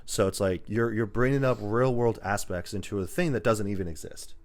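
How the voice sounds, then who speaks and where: A man's voice: fairly low pitch, a little fast, darkish tone. A man co-hosting a podcast, chatting casually about games and movies in a rambling, emphatic, sometimes sweary way. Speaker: podcast co-host.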